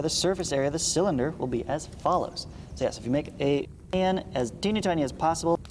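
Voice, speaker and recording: A man's voice: a little high, darkish tone. A man giving a read-along lesson as a teacher, reading aloud and breaking off for informal, folksy explanations. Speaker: math teacher. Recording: echoey room, clearly audible background noise.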